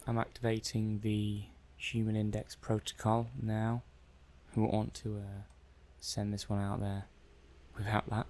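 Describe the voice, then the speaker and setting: A man's voice: on the low side, completely flat delivery, a little slow, darkish tone, a little hiss or hum, close to an everyday voice. A man playing a mild, methodical robot-testing technician in an ASMR roleplay, muttering hesitantly and matter-of-factly to himself. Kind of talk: soft-paced ASMR roleplay monologue.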